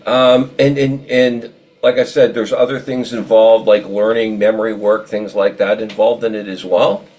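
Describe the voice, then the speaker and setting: A man hosting an informal interview, speaking in a halting, conversational way and repeating words. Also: fairly low pitch, fairly even intonation, a little mellow, low-quality recording. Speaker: interviewer and show host.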